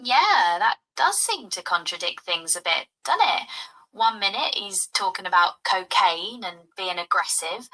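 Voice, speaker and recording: A woman's voice: mid-range voice, expressive, unhurried, pushed and bright, low-quality recording. A synthetic woman's voice with a put-on Cockney accent, breezily explaining things to a user. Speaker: voice assistant. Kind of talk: chatty explaining.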